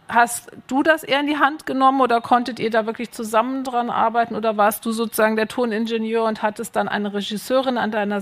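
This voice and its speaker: A woman's voice: fairly high pitch, a bit bright. A woman moderating a festival panel, asking long questions. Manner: asks questions at length.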